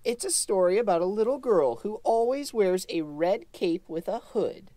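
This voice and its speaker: A woman's voice: medium pitch, expressive, moderate pace, warm tone, no room sound, close to an everyday voice. A woman playing a prim, easily exasperated puppet dog reading a story aloud in a children's puppet show. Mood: patient storytelling.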